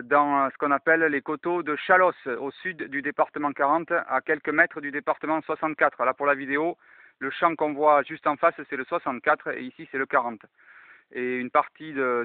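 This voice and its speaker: Male radio hobbyist, slightly high pitch, casual vlog talk and call-outs, narrow phone-like sound, dry. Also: dry recording. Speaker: amateur radio enthusiast and video host. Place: outdoors in open countryside. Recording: thin, phone-like band-limited sound.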